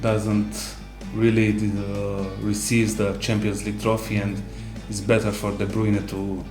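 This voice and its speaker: A man co-hosting a casual sports discussion, speaking haltingly with non-native English phrasing. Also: on the low side, completely flat delivery. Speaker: co-host. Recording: roomy echo, music underneath.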